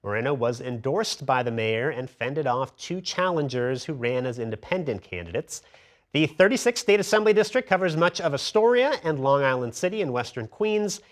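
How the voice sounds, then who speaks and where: A man's voice: medium pitch, big pitch swings, normal speed. A man anchoring a TV news program, introducing a guest and asking probing questions in a conversational way. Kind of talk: TV news interview.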